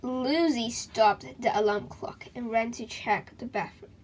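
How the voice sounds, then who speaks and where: A woman reading a children's book aloud in an expressive, dramatic way, with chatty asides to her young listeners. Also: on the high side, measured pace, slight background noise, poor audio.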